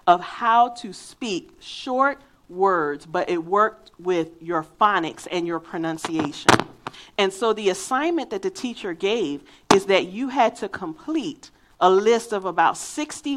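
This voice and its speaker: A woman from Philadelphia with a faint Philadelphia accent, preaching a sermon in an earnest, emphatic way and weaving in a personal story. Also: medium pitch. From Philadelphia, USA.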